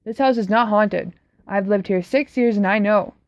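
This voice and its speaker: A woman's voice: mid-range voice, normal speed, dry recording, close to an everyday voice. Uncle Clement, genial and firm, read by a woman. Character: genial but firm middle-aged uncle. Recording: slightly dull, dry recording.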